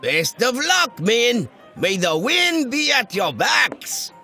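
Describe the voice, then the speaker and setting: An actor playing a calm, patient small-town cartoon sheriff, measured, folksy and diplomatic. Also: male voice, very high-pitched, creaky, faint music behind, full character voice. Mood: calm, firm.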